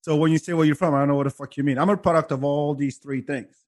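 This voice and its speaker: Eager, higher-voiced male podcast host. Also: steady tone, fast talker, a little mellow. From Senegal, grew up in France. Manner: playful, interrupts eagerly, laughs often. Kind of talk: casual podcast chat.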